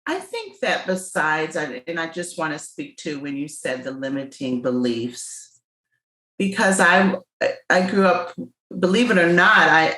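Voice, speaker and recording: Woman guest on a podcast, slow and emphatic, bright sharp tone, echoey room. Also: low-pitched. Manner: emphatic and motivational, drawn-out delivery. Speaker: interview guest, business owner. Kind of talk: casual interview chat.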